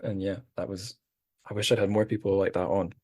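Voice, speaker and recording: A man with a very low voice hosts a podcast in relaxed conversation. He rambles and pokes fun at himself, restarting his sentences often. The sound is dry and close, with no room echo. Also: fairly quick. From Scotland. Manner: rambling, self-deprecating, many restarts. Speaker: podcast host. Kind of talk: casual chat.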